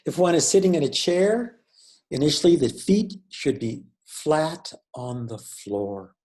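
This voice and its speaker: A man leading a guided meditation as a teacher, speaking in a measured, deliberate way with pauses between phrases. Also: fairly high pitch, lively intonation, darkish tone.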